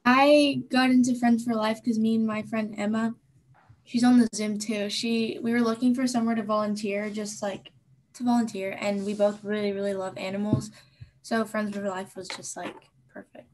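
A young woman talks casually on a video call, dropping "like" into her sentences. Her pitch stays flat, in a middle register. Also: normal speed, low-quality recording. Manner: casual, with "like" fillers. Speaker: volunteer.

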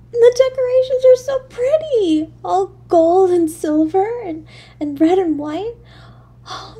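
A woman voicing a poor, lonely little girl in an audio drama, halting and breathy, with childlike stammering. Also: very high voice, mellow, full character voice. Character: poor, lonely, freezing little match girl, timid and yearning. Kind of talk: solo audio drama monologue.

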